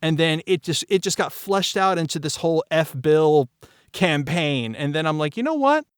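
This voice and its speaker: A man's voice: a little high, lively intonation, moderate pace, smooth, no room sound, studio quality. A middle-aged man talking candidly in a cast discussion as the writer, emphatic and often circling back to repeat his phrases. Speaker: writer and creator of an audio drama.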